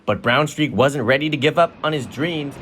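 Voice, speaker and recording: A man's voice: on the high side, moderate pace, fairly bright tone. A man narrating a scripted children's story in storybook style. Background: music.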